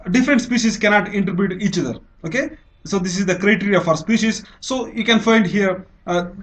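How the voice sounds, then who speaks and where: A man with an Indian accent teaching in an explanatory lecture style, restating phrases and often checking in with a short okay. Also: sits high. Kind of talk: explanatory exam-prep lecture.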